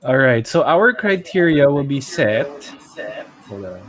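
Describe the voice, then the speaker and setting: A man's voice: medium pitch, very animated, a little slow, fairly bright tone. Filipino man thinking aloud on a podcast. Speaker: podcast co-host. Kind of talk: casual pop culture podcast chat.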